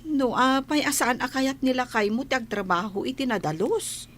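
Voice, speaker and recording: A woman's voice: high-pitched, moderate pace, a bit bright. An actress playing a devout, sympathetic friend in an Ilocano radio drama, earnest and consoling as she advises. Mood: advising.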